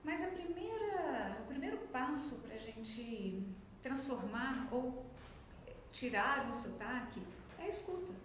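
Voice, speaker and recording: A woman's voice: high voice, animated, takes it slowly. A woman with a Minas Gerais accent, a speech therapist explaining her work as an interview guest, in a didactic way. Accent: Minas Gerais Brazilian Portuguese. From Brazil, Minas Gerais. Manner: didactic, acts out quoted remarks. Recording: very echoey room, faint noise.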